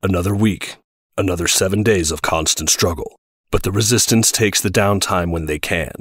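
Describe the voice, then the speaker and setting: A very low male voice narrates an audio drama from a script. The sound is close and dry. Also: moderate pace, fairly bright tone, no echo.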